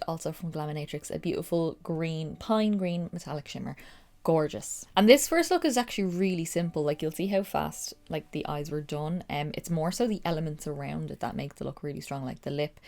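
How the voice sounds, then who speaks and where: A woman's voice: sits low, a little mellow. A young woman with a stuffy, nasal voice from a cold, chatting casually through a makeup tutorial. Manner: chatty, rambling, upbeat. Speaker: makeup vlogger.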